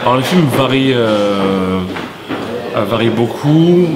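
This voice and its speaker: A man from France, a company head as interview guest, answering in a halting way with many pauses and restarts. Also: mid-range voice, animated, a crowd in the background. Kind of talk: hesitant conversational interview answers.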